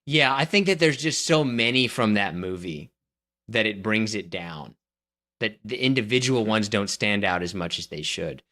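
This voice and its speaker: A man's voice: fairly low pitch, animated, normal speed, sharp tone. A man co-hosting a casual podcast, skeptical of his partner's list and arguing his own picks at length. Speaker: podcast co-host. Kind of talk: casual debate chat.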